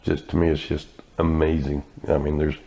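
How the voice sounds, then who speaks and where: An older man with a deep voice, a guest telling stories in a casual interview and laughing often. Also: flat delivery, moderate pace, mellow. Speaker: interview guest, village staff and volunteer.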